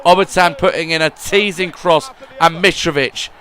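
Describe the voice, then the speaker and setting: A man's voice: a little high, normal speed. A man giving rambling voice-over commentary on his football video game career, often repeating words. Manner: rambling, repeats words, swings between gloom and excitement.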